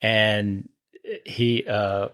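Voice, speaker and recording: A man's voice: fairly low pitch, flat delivery, extremely slow, fairly bright tone. Easygoing man co-host from Scotland. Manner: easygoing, agreeable, short replies. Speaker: podcast co-host. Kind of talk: casual chat about a TV movie.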